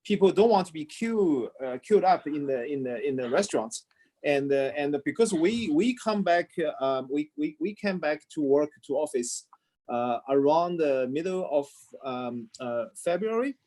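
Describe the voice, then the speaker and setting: A man's voice: fairly high pitch, expressive, moderate pace, a little mellow. A man speaking as a panelist in an online discussion, restarting and repeating words often.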